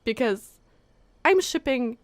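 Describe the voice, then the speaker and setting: A woman's voice: fairly high pitch, slow, no room sound. A young woman streamer giving casual, gushing live commentary on a video game. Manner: excitable, gushing, giggly. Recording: game music behind the voice.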